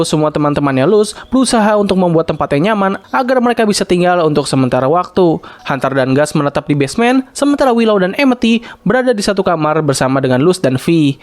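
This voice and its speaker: Fast, high-pitched young Indonesian man. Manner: informal, conversational, explanatory. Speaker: video reviewer and recap narrator. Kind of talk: casual scripted recap commentary.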